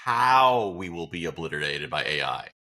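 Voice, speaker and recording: A man's voice: low voice, big pitch swings, moderate pace, pushed and bright. A man co-hosting a podcast, chatting casually and philosophically in a blunt, rambling, opinionated way. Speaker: podcast co-host.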